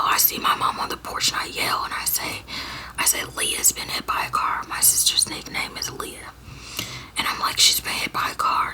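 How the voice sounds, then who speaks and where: A young woman from Georgia in the US, telling a personal story to her viewers in a halting whisper, full of fillers and restarts. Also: sharp tone, poor audio. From Georgia, USA. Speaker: storyteller vlogger.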